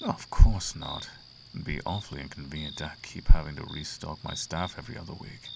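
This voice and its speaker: A man's voice: very deep voice, noisy background. A man playing a suave, flirtatious, imperious demon prince in an intimate roleplay monologue, teasing and silky with grand formal phrasing. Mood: imperious, reassuring. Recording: clear outdoor cricket ambience behind.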